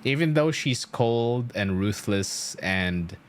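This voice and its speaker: A man's voice: on the low side, animated, slow, a little hiss or hum. A young man streaming a game, explaining its story in a casual, rambling way with emphatic storytelling and loose swearing.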